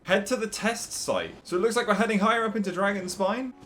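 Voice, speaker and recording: A woman's voice: mid-range voice, quick pace, soft background music. A woman playing a video game, casually commenting, reacting and answering the characters as she goes.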